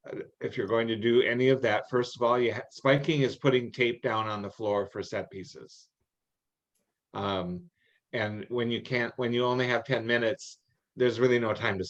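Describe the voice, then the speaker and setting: An older man answering questions in an informal meeting, explaining rules in a halting, anecdotal way. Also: medium pitch. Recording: dull, lo-fi online call audio.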